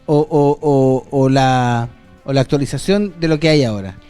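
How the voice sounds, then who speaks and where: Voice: mid-range voice, moderate pace, warm tone, clear, resonant tone. Chilean interviewer, casual, keeps jumping in with questions, faint music in the back. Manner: probing, interjecting. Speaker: interviewer, host. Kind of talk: conversational interview.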